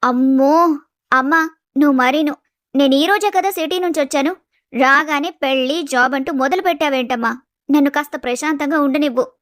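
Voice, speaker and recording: A woman playing an affectionate young college-age daughter in a dramatised story, sweet and gently protesting. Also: high-pitched, moderate pace, no echo, clean studio sound. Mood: protesting, reassuring. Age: young adult. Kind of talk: dramatised moral story acting.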